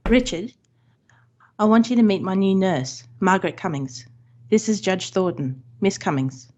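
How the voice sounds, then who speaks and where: A woman's voice: medium pitch, normal speed, darkish tone, close to an everyday voice. Woman playing imperious middle-aged wife, muffled recording. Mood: demanding. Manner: imperious, scolding. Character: demanding, jealous, ailing middle-aged wife. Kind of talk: old-time radio drama acting.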